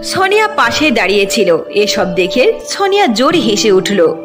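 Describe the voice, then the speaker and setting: Female fairy-tale narrator: fairly high voice, very lively intonation, faint music underneath. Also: normal speed.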